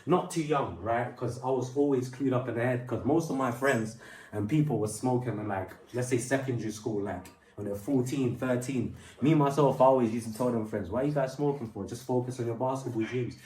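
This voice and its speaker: A man's voice: medium pitch, a little fast, darkish tone, far from the mic. Man telling his story in a roomy space. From Angola. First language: Portuguese. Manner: rambling with many fillers and restarts. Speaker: aspiring musician. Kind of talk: personal storytelling. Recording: roomy sound from off mic.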